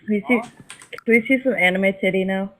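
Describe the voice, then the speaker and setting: Deadpan trolling chat participant. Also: medium pitch, fairly quick. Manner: crude, deadpan trolling jokes. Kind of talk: casual voice chat.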